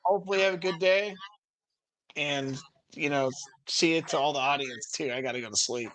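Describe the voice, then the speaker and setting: A man chatting casually as a livestream guest, halting and repeating himself with lots of filler words. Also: fairly high pitch, a bit bright, slight background noise, rough recording. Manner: halting, repetitive, filler-heavy. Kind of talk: casual chat.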